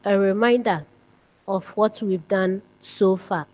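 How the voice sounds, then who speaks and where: A woman's voice: medium pitch, slow pace, darkish tone, dull audio. A woman narrating a scripted writing lesson, reading out points step by step like a teacher.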